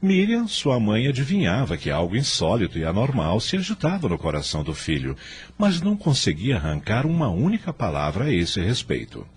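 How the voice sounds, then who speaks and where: A man narrating a scripted radio story in a measured, expressive, dramatic manner. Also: on the low side, husky.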